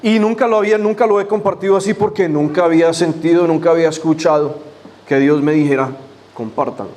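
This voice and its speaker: A man's voice: fairly high pitch, fairly even intonation, fast, darkish tone. Fervent Spanish-speaking male preacher. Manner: fervent praying, repeating "Señor" and "Padre"; conversational storytelling with restarts. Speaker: pastor. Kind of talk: sermon with opening prayer and testimony. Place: church.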